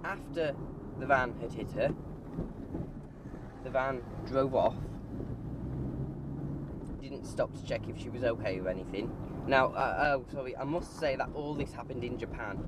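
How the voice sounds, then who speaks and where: A man's voice: medium pitch, unhurried, pauses often. A man giving a casual vlog monologue in a hesitant, self-correcting way while driving. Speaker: vlogger. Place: inside a moving car. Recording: loud car engine and road noise. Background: car and road noise.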